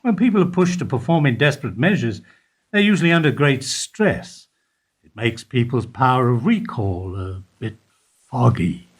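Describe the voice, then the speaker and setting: An actor playing an observant, low-ranking bank employee in a radio play, speaking in a measured, pointed, insinuating way. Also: male voice, sing-song, breathy edge. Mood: guarded.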